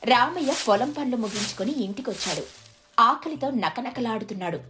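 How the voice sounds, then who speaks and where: Female storyteller, scripted folk-tale narration, pitched a bit high, light music bed. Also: lively intonation, brisk.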